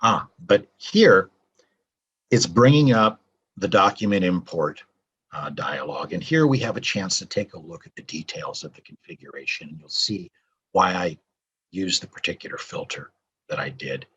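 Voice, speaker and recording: An older man, a translator at his home desk, casually talking through a software tutorial with many ums and uhs. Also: sits low, very animated, moderate pace, breathy edge, lo-fi sound. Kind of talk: casual screen-recorded tutorial.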